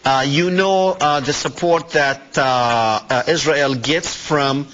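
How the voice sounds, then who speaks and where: A man's voice: mid-range voice, takes it slowly, fairly bright tone, slight background noise. A man hosting a live call-in discussion, explaining points and challenging with questions. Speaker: host of a call-in talk show. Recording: slightly muffled broadcast audio.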